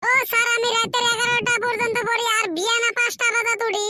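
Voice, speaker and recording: Mischievous little cartoon schoolboy, extremely high piping voice, cocky, noisy recording. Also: flat delivery, fast talker. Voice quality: tiny, piping, chipmunk-like voice. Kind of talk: comic cartoon dub. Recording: very noisy homemade cartoon dub.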